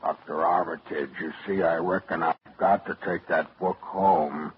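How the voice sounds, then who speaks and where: A deep, menacing half-inhuman brute. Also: male voice, fairly even intonation, a little slow. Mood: threatening. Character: menacing uncouth giant man, half-inhuman. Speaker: villain in a radio play. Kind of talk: 1940s radio acting. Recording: dull, hissy old radio recording.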